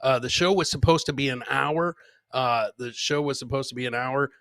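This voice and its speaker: A man's voice: mid-range voice, moderate pace, a bit bright, no echo. A man hosting a live comedy podcast, chatting informally with viewers and swearing casually. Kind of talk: casual live show chat.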